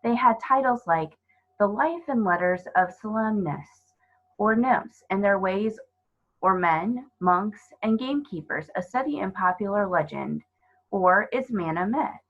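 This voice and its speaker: A woman narrating a children's storybook as a reader, in an expressive scripted style. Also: mid-range voice, unhurried, dull audio.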